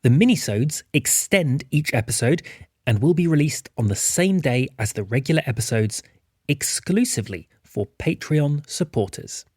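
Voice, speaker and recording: A man's voice: medium pitch, animated, moderate pace, a little mellow, breathy edge, dry recording, clean studio sound. A man hosting a behind-the-scenes podcast as one of its producers, talking casually.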